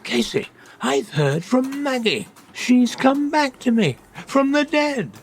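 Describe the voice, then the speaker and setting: An actor playing a gentle, earnest, grieving old widower with a creaky voice in a radio comedy. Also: male voice, very high-pitched, sing-song, takes it slowly, a little hiss or hum, exaggerated voice. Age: older, about 70s. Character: grieving, trusting elderly widower shopkeeper.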